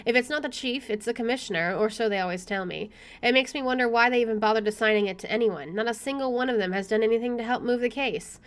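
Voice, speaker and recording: Girl gamer reading a visual novel out loud, highish voice, offhand comments. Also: fast talker. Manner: expressive reader, reacting with casual asides. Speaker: gaming video host. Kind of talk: reading game text aloud with asides.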